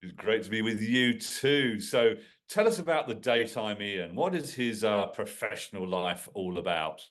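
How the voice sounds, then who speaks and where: Low-voiced podcast host man. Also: expressive, measured pace, fairly bright tone. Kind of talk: podcast interview.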